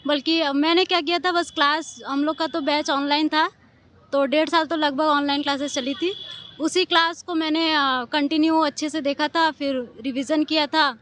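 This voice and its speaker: Young graduate woman, high-pitched, earnest step-by-step explainer. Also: fairly even intonation, normal speed, fairly bright tone, no echo. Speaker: gold medalist graduate. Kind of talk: interview answers.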